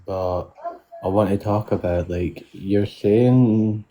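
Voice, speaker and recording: An eighteen-year-old man calling in by phone, mumbling flatly. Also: on the low side, slow pace. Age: teens. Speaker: caller. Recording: distant noisy phone call.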